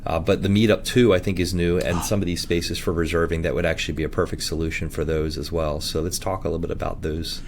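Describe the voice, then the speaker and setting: A man hosting a conversational interview, asking quick questions and affirming his guest often. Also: low voice, flat delivery, vocal fry, slight background noise. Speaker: interview host.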